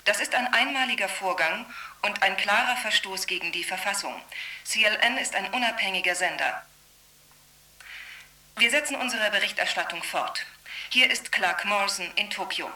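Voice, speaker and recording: A TV newsreader in a radio play, firm and formal. Her medium-pitched voice is extremely crisp and forceful, heard slightly at a distance through a broadcast. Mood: firm, defiant. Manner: formal, emphatic, measured. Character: firm, composed female TV news anchor. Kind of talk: news presentation in radio drama.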